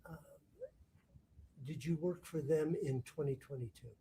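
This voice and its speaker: A man acting as prosecutor in a courtroom, questioning a witness in a halting way, often rephrasing mid-sentence. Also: a little high, dark tone, slight background noise.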